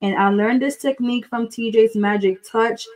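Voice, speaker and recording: A woman from the Dominican Republic, hosting a live craft tutorial, chatty and excitable, talking straight to her viewers and mixing in a little Spanish. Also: a little high, moderate pace, poor audio. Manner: chatty and excitable, says y'all a lot, mixes in some Spanish. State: nervous. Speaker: craft livestream host. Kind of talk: live craft tutorial with chat shout-outs.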